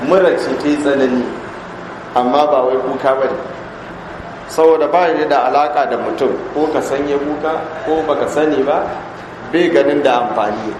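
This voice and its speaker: A man with a Hausa accent, a Nigerian Islamic scholar teaching in a mosque, reading Arabic lines and then explaining them slowly. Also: medium pitch. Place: large mosque hall. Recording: echoey hall recording with background noise.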